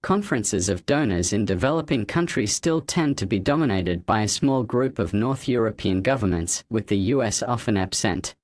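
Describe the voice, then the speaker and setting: A man's voice: fairly low pitch, animated, moderate pace, hardly any pauses, no echo, studio quality. A man reading a scripted practice passage aloud for a language test lesson. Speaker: test-prep reader.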